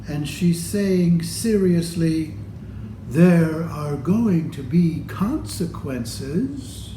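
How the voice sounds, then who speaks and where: A man reads a picture book aloud for children. His delivery is very slow and expressive, addressed straight to young listeners. The room is echoey, with clear noise behind the voice. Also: on the high side. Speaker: storybook reader. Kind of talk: children's read-aloud storytelling.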